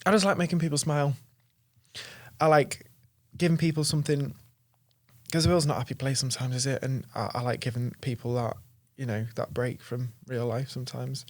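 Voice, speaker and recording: A man's voice: medium pitch, steady tone, fairly quick, dry recording. A young man chatting casually and self-deprecatingly as a podcast guest, often adding tag questions. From North Wales and Chester, England. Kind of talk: casual chat.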